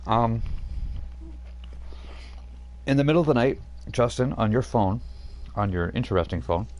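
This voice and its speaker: A man narrating as game master, relaxed and halting, with frequent fillers. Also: mid-range voice, slight background noise.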